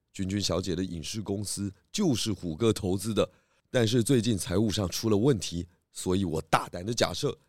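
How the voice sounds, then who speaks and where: A man playing a confident, clever detective in a children's radio drama, explaining his case deliberately. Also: on the low side, dry recording, studio quality, lightly acted. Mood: calm, probing. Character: confident, clever male detective unmasking culprits.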